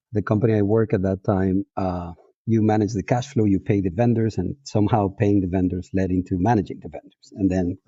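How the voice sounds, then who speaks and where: Husky Colombian-accented man, very dark voice. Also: on the low side, no echo. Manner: reflective, frequent restarts and fillers, laughs easily. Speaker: guest. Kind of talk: casual interview chat. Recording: dull, muffled audio.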